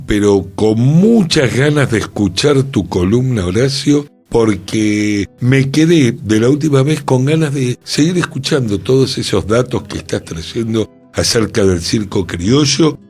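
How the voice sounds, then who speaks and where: A man with a Rioplatense accent hosting a radio show, greeting listeners and co-hosts in an unhurried, friendly way. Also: mid-range voice, a little mellow, slightly rough. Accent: Rioplatense Spanish. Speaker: radio host. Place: radio studio.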